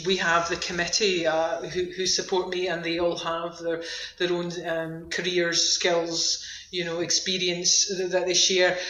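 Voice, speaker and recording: A woman's voice: fairly low pitch, fairly bright tone, reverberant, poor audio. A woman with a Scottish accent speaking informally and upbeat as a centre manager being interviewed on the radio.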